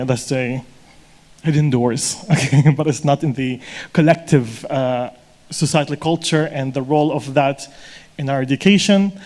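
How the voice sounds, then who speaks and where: Audience man, somewhat high voice, hesitant and rambling. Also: darkish tone. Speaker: audience member asking a question. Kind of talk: posing a long audience question.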